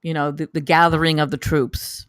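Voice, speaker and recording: A person giving casual spoken feedback on a script, haltingly, often repeating words and phrases. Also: medium pitch, little pitch movement, fairly bright tone, dry recording, clean studio sound. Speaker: table read participant giving script feedback.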